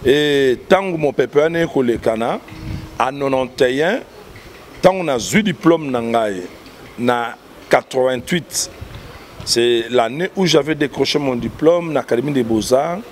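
Man, 50s, Congolese French accent, pastor guest, measured explaining, handheld mic, church hall. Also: mid-range voice, expressive, a little hiss or hum. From Kinshasa, Congo. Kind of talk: explanatory interview answers. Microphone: handheld mic held by host.

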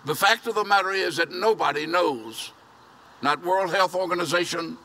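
An older man preaches from an outdoor podium with a bright, high-set voice. He is earnest and hammers home his key phrases. Vehicles hum faintly behind him. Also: moderate pace. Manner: earnest, repeating phrases for emphasis. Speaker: pastor. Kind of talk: sermon. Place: outdoor church parking lot. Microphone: podium mic from a distance.

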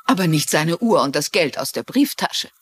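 An actress playing a shrewd, genteel middle-aged mystery writer and amateur sleuth, explaining things articulately in a German-dubbed TV mystery. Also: female voice, low voice, lively intonation, normal speed, breathy edge. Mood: probing, assured. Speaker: lead character and narrator.

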